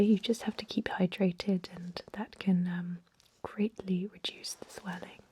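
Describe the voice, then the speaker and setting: A woman voicing a kindly, chatty old vampire medic in a gentle, breathy whisper for an ASMR role-play. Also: medium pitch, a little hiss or hum, natural voice. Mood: attentive, soothing. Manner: gentle, rambling, reassuring bedside talk. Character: kindly, chatty, aged female vampire medic, pureblood purist.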